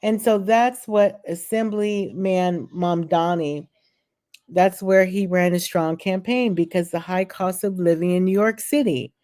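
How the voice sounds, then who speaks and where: Woman vlogger, low mellow voice, unhurried; reads news and trips on names, then chats her own views. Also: steady tone. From California. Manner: reads haltingly, stumbles on names, then adds chatty opinions. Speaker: political commentary vlogger. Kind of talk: reading news aloud and commenting.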